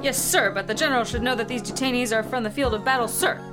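A woman acting a brisk, dutiful Marine private in a comic radio play. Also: on the high side, natural voice. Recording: music under the dialogue.

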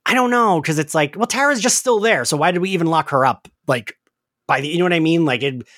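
A man's voice: on the high side, animated, fast, fairly bright tone, dry recording, studio quality. A man co-hosting a casual recap podcast, chatty and giggly, peppering his talk with "like". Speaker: podcast co-host.

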